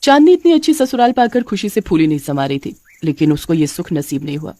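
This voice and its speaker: A woman's voice: low-pitched, sing-song, fast, mellow, no echo. A woman narrator reading a scripted cartoon story.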